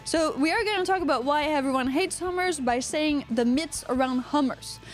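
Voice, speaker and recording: A woman's voice: sits high, normal speed, soft background music. A woman from Quebec with a Quebecois accent, co-hosting a casual live podcast, rambling and joking as she reads out chat comments. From Quebec, Canada. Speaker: podcast co-host.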